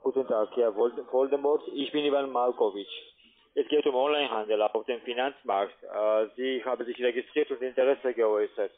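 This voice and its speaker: Call center guy, halting sales pitch, thin phone audio. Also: steady tone. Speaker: customer service telesales agent. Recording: tinny telephone line.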